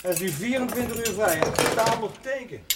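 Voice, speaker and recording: A woman's voice: mid-range voice, lively intonation, brisk, close to an everyday voice. Through a very noisy TV soundtrack with clattering crockery comes an actress as a practical, outspoken woman. She speaks plainly and directly, with a probing, suspicious edge, in naturalistic drama style. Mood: probing, teasing. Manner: direct, probing, matter-of-fact. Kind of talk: naturalistic television drama acting.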